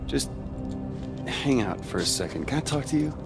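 A cocky young American rebel in a video game. His voice has a gravelly crackle, and he talks in brash, slangy sarcasm, with music underneath. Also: mid-range voice, very animated, normal speed. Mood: confrontational. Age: young adult. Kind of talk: video game cutscene acting.

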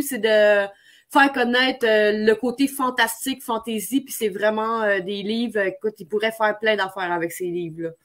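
A woman with a Quebec French accent hosts an online chat in a fairly high voice. She puts her questions informally, wandering through them as she goes. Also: a bit bright. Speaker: live show host, interviewer. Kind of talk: casual chat, interviewing. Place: indoor room, on a video call. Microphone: webcam video call.

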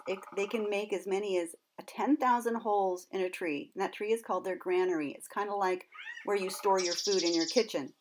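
A woman's voice: mid-range voice, background noise. A middle-aged woman presenting as a nature educator, explaining things to young children in simple words with questions to her listeners.

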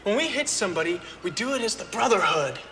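A man's voice: high-pitched, big pitch swings, fast talker, bright, forceful tone, heavily acted. A young man acting in film scenes as an earnest, laid-back youth with a drawling, boyish delivery. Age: young adult. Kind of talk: film dialogue. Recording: film soundtrack with hall reverb and faint noise.

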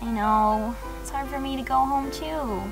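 A woman's voice: sits high, steady tone, unhurried, over music. A young woman playing a sweet, wistful, lovestruck date in a comedic game cutscene. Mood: tender, wistful. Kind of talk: live-action game cutscene acting.

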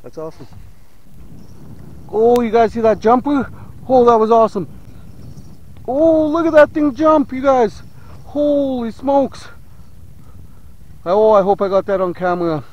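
A man vlogging from a small boat on a lake, chatting casually to viewers and getting excited as he fishes. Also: very high voice, a little slow, pauses often, dull audio. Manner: excitable, talks to viewers as "you guys". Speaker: fishing vlogger. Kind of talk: casual on-water fishing commentary.

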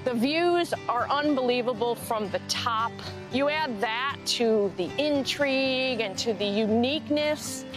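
A middle-aged woman answers interview questions outdoors by a lake, her voice high and eager. She talks candidly, with music clearly playing beneath her. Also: a little slow. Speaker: lighthouse owner being interviewed.

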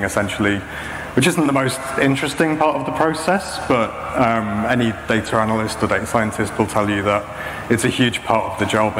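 A man in his thirties presenting a technical talk, explaining step by step in a measured, hesitant way. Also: fairly low pitch. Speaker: conference speaker. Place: indoor talk stage with projection screen. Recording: echoey hall PA with clear background noise.

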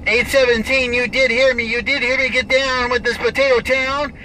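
A man's voice: extremely high pitch, little pitch movement, normal speed, low-quality recording. An American man chattering on CB radio from inside a vehicle in showy, repetitive, sing-song call-outs. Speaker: CB radio operator and video recorder. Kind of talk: CB radio chatter with rhythmic repeated catchphrases. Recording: loud vehicle and radio background noise.

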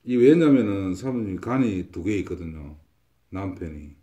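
Low dark-voiced fortune teller guy, says everything twice like it's certain. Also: expressive, moderate pace. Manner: confident, repetitive pronouncements. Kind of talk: saju fortune consultation.

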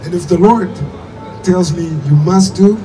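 Distant high-voiced man preaching outdoors. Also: noisy background. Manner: earnest, exhorting, pauses for interpreter. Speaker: street preacher. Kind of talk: outdoor street preaching in English. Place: outdoor square by a stage. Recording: distant PA voice, music behind.

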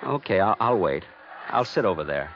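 A man's voice: deep voice, very animated, moderate pace, noisy background. Frantic man on the run, swooping delivery. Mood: anxious. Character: frantic everyman narrator on the run with stolen money. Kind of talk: 1950s radio thriller acting, first-person narration. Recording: dull old 1951 radio recording, loud music.

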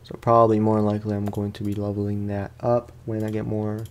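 A man's voice: fairly low pitch, monotone, a little fast, a little mellow. A man doing casual let's play commentary, talking in an informal, slangy way and often repeating his phrases. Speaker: gaming streamer.

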